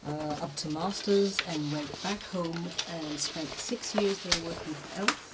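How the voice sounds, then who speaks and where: A woman from Cameroon, a musician guest on a radio show, talking in a chatty, relaxed way and laughing easily. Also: fairly low pitch, unhurried. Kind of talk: casual radio interview. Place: radio studio. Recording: clear background noise.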